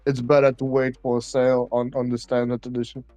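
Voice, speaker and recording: Halting man with a dry sound. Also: mid-range voice, monotone, mellow, no room sound, soft background music. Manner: hesitant and halting, swears when annoyed. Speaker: podcast co-host. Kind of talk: casual gaming podcast chat.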